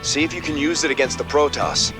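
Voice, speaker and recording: A man's voice: fairly high pitch, fairly quick, over music, close to an everyday voice. An actor playing an earnest military officer in a video game, reporting to his commander in a formal, businesslike manner. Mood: concerned.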